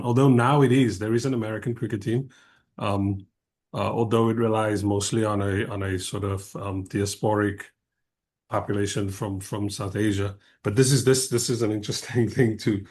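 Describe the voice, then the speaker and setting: A man in his fifties, originally from South Africa, giving a thoughtful, digressive talk as a guest speaker on an academic panel. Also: fairly low pitch. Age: 50s.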